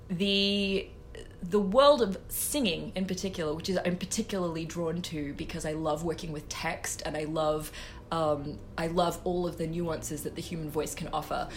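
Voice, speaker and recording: A woman's voice: on the low side, a little hiss or hum. An Australian woman in her late thirties, a composer guest on a podcast interview, who speaks animatedly with frequent laughs and fillers. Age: late 30s.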